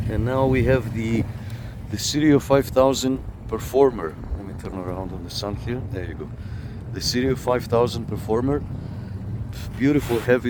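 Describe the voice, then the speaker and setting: A man giving a casual hands-on product review as a video host, talking informally and directly to viewers on a handheld camera. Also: mid-range voice. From Canada. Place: outdoors in a parking lot and inside a parked car. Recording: noisy outdoor recording with car sounds.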